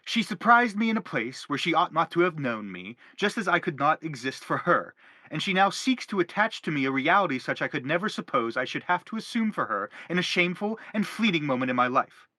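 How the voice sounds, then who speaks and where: A man's voice: a little high, sing-song, fairly quick, sharp tone, dry recording. A man playing a self-justifying middle-aged father in a stage play, philosophizing earnestly in a wordy, declamatory way. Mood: pleading, indignant. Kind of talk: theatrical stage-play reading.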